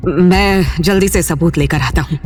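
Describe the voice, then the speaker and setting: A woman's voice: fairly low pitch, normal speed, over music, natural voice. A woman voicing a nervous, fawning man of about fifty in an audio drama, speaking hesitantly and deferentially with stammers. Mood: timid.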